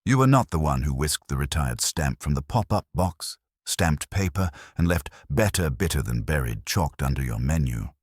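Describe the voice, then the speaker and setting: A man's voice: a bass voice, expressive, moderate pace, hardly any pauses, no room sound, studio quality. A man voicing a stern, erudite detective who speaks in formal, precise, cutting sentences, in a mystery story. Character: stern, erudite male detective, disdainful and exacting.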